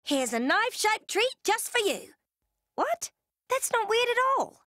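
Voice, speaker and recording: Casting: bubbly mischievous game girl, very high, lively, bright delivery. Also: unhurried, no room sound, clean studio sound, heavily acted. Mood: cheery.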